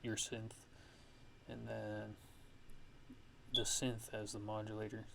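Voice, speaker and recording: Male ASMR tutorial host, low and monotone, casual and halting, unscripted feel, noisy recording. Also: creaky. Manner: halting, loose, unscripted explaining. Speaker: music production tutorial host.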